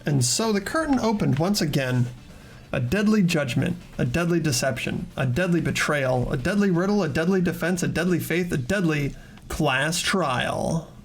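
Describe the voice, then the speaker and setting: Higher-pitched man narrating a game. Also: animated, moderate pace. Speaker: narrator and player in a voiced game playthrough. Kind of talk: dramatic game narration with casual asides.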